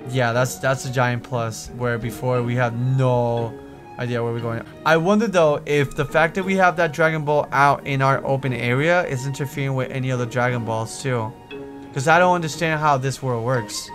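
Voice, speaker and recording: A man chatting casually as he plays a roleplay video game, excitable and quick to exclaim or swear. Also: medium pitch. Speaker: gaming video host. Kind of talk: casual Minecraft roleplay chat while playing. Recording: music under the voice. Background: background music.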